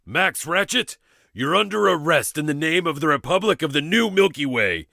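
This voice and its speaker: Heroic young space guy, a bit raised pitch, dry, man reading it. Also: expressive, normal speed, gravelly, bright, forceful tone, dry recording, full character voice. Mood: commanding. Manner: earnest, heroic commands. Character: brave young male space hero.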